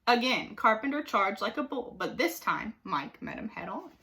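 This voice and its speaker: Lady reading a kids book out loud, bright voice, super animated, dramatic. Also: on the high side, moderate pace. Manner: expressive, dramatic emphasis. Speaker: teacher reading aloud. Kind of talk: animated storybook narration for children.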